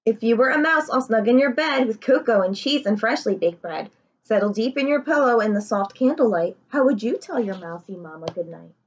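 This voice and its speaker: A young woman reads a picture book to children in a fairly high, breathy-edged voice. She is expressive and often turns to her listeners with questions. The webcam recording sounds a little dull. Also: fairly quick. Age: young adult. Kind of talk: children's read-aloud with asides. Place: living room, indoors.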